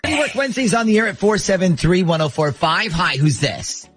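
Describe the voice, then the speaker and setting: A man's voice: high voice, very animated, fairly quick, over music. A man hosting a morning radio show, bantering with a caller in an upbeat phone-in style.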